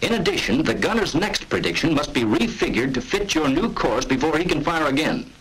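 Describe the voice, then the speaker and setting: Husky man narrating an old 1940s training film, lively pitch, firm and instructive. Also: rough recording. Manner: measured, authoritative, instructive.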